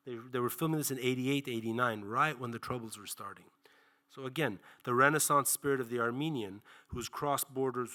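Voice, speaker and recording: A man's voice: mid-range voice, sharp tone. A man in his forties giving a passionate public film lecture, speaking emphatically and rhetorically, stressing key words in repeated phrases and lists. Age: 40s. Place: library auditorium, speaking to an audience.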